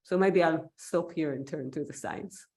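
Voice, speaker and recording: Low-voiced woman from Israel, reflective. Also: normal speed, gravelly, darkish tone. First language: Hebrew. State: recovering from illness, occasional cough. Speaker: invited scientist speaker. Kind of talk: online seminar talk.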